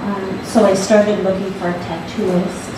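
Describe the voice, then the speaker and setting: Panelist, woman, hesitant delivery with fillers, picked up far off in an echoing hall with steady noise. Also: medium pitch, unhurried. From Alaska. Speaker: panelist, visual artist. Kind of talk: panel talk. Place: large gallery hall.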